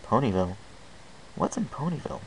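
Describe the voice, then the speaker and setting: Guy as a self-doubting young colt in a story, casual and a bit gravelly, hissy home recording. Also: mid-range voice, big pitch swings, a little fast, pitched above the performer's own voice, low-quality recording. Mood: hesitant. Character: restless, self-doubting young male pony.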